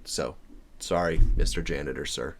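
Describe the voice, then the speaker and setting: A man's voice: extremely low pitch, moderate pace, gravelly, a little hiss or hum. An American man from Maine giving casual reaction commentary, rambling and jokey, often interrupting himself. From Maine, United States. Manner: animated, self-interrupting, jokey. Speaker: reaction video host.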